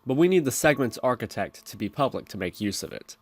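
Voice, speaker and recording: A man's voice: medium pitch, big pitch swings, fast, slightly rough, no echo. A man hosting a programming tutorial, narrating step-by-step instructions in a screencast style.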